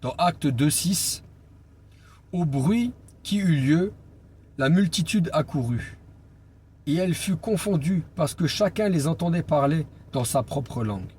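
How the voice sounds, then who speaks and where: A man preaching an informal Bible teaching as an evangelist, speaking deliberately and pausing on key phrases for emphasis. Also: fairly high pitch, lively intonation, darkish tone. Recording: clear background noise and ambience.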